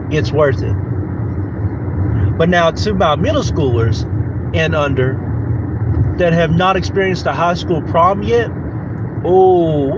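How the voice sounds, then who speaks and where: Man in his 30s, podcast host, rambling and repetitive, fairly high voice, loud traffic behind. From United States. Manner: rambling, repetitive, many fillers and restarts. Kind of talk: casual solo podcast monologue.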